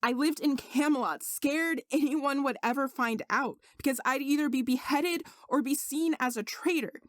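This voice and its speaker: A woman narrator plays an aggrieved young male servant hiding his magic. The voice is high-set and dry, earnest and insistent, as in an audiobook fantasy tale. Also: normal speed, no room sound, lightly acted. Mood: pleading, hurt. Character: indignant young male servant, secretly a sorcerer.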